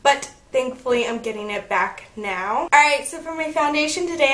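A young woman in her twenties chatting casually through a makeup tutorial, upbeat and a little croaky from being sick. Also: fairly high pitch, fairly bright tone. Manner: chatty and upbeat, narrating each step. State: slightly croaky, recovering from illness. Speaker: beauty vlogger. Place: bedroom at home, indoors. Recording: echoey room.

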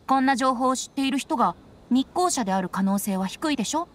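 A woman's voice: high-pitched, animated, quick pace, slight background noise. A woman voicing a playful, carefree young male bard in an anime game, with breezy, teasing, theatrical delivery. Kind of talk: Japanese anime game voice acting. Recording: background music behind voice.

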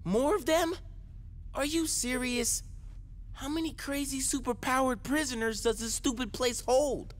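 Very high-pitched teen boy superhero. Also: fairly even intonation, unhurried, a little hiss or hum. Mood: incredulous. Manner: youthful, urgent. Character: incredulous, determined teenage boy superhero. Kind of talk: video game cutscene acting.

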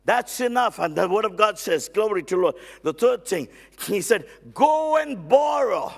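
Loud, gravelly man preaching with fervor. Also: very high-pitched. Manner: loud, excitable, many amens and hallelujahs, shouts often. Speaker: guest preacher. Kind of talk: sermon. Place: church stage with band instruments.

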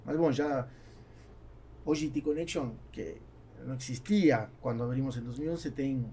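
A middle-aged man, a native Spanish speaker with a South American accent, talking casually and haltingly as an interview guest. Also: medium pitch, dark tone, slight background noise. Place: small studio with acoustic foam, indoors. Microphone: podcast microphone on boom arm.

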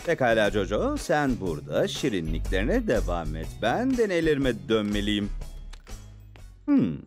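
A jolly cartoon scientist, kindly and absent-minded. He talks unhurriedly with big swoops, over clear music. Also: lowish voice, wildly swooping pitch, a little slow. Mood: cheerful. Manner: jolly, exaggerated. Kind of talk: cartoon dub acting.

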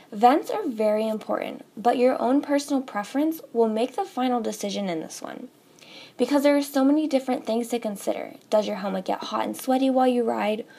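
A young woman talks viewers through a product guide in a bright, scripted, upbeat way. Her voice sits a little high and often slips into vocal fry. It sounds like a studio voice-over. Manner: upbeat, direct address to viewers. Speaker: store presenter. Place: studio with a table, voice-over for most of the video.

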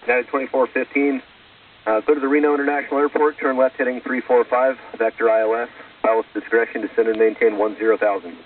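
A man working as an air traffic controller speaks in a quick, flat delivery with clipped procedural phrasing. He comes over a narrow, phone-like radio link that sounds distant and a little husky. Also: mid-range voice. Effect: aviation radio.

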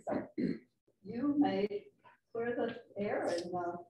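A woman's voice: medium pitch, expressive, takes it slowly, warm tone. An older woman hosting a meeting, introducing a guest speaker in a chatty way. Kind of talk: reading an introduction. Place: hotel dining room, indoors. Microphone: far room camera. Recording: dull echoey room from far off.

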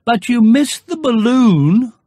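A narrator voicing an earnest, slow-witted male toy bear in a children's storybook, speaking in a deliberate, drawn-out way. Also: medium pitch, animated, warm tone, no room sound, clean studio sound, voice pushed up. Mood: earnest, solemn. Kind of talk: children's storybook character acting.

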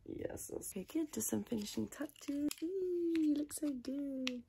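A woman softly improvising an ASMR personal attention role play as a tailor, chatting in a rambling, chaotic way close to the microphone. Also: sits high, animated, unhurried, vocal fry, slight background noise. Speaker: ASMR creator playing a tailor.

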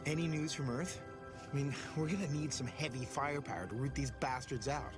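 A man's voice: medium pitch, brisk, music in the background, close to an everyday voice. An actor playing a tough, determined young male soldier in a video game cutscene. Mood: serious, restrained.

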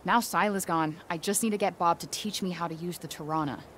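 A young American heroine from a video game, played by a woman, with a lowish voice. She is blunt, slangy and wry, tough on the outside with a conscience underneath, and there is audible noise behind her. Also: fairly quick, a bit bright, close to an everyday voice. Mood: wry, upbeat. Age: young adult. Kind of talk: naturalistic video game voice acting.

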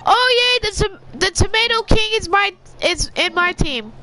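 A woman with a very high, bright voice speaks up in a game's voice chat. She is eager and insistent, pushing to get a word in. Faint noise sits behind her on the line. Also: animated, moderate pace. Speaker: teammate in voice chat. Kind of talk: casual game voice chat.

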